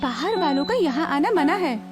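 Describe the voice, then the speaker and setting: Casting: actress as stern young villager, high-pitched, firm, direct story dialogue with music underneath. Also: female voice, sing-song, moderate pace. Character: stern young village woman guarding against outsiders. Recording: voice over background music.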